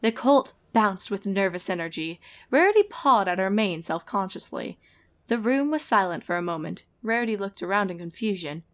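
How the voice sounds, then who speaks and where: A woman's voice: medium pitch, lively intonation, normal speed. A woman reading a fan fiction story aloud as narrator, in a scripted storytelling style.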